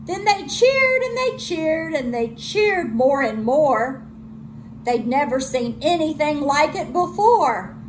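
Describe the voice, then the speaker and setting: A woman teacher reading a children's storybook aloud with expressive delivery. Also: high-pitched, takes it slowly, a little hiss or hum.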